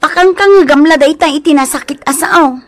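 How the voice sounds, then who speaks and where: Radio drama wife, played by a woman, Ilocano accent, reasonable and gently scolding, a little high, dry studio sound. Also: normal speed, dry recording, natural voice. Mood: chiding, reasonable. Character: sensible, chiding Ilocano wife advising her husband.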